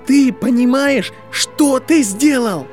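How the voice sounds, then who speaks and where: Man doing a high-pitched, furious young boy wizard. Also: very animated, normal speed, faint music behind. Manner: trembling, shouting, accusatory delivery. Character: furious, anguished boy wizard, sharp and accusing.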